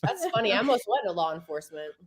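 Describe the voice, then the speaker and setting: A woman's voice: medium pitch, very animated, racing, breathy edge. A woman speaking up bluntly as a guest in a game night chat on a video call. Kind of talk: casual chat.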